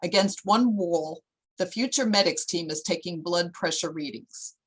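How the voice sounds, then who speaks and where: A woman's voice: on the low side, normal speed, husky, low-quality recording. A woman narrating a screenplay at a table read, reading out scene headings and stage directions.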